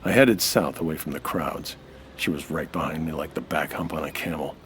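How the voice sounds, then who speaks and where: An actor playing a suspicious, hard-boiled American nightclub owner who narrates in a wry, terse radio noir style. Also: male voice, rumbling low, a little fast, a little hiss or hum. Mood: brooding, wry. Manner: wry, terse, tough-talking. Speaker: actor playing lead detective-style hero. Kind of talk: old-time radio noir monologue and dialogue.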